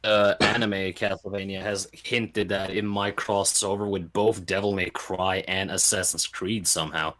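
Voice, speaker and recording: A man speaking as a guest in a relaxed, informal podcast chat about games. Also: sits low, normal speed, hardly any pauses.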